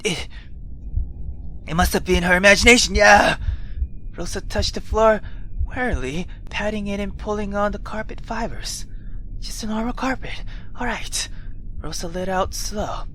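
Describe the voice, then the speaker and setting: A woman reading a visual novel aloud as a let's player, expressive and dramatic, dropping into hushed asides. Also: medium pitch, normal speed, pauses often, a little hiss or hum, rough recording. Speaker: video game let's player.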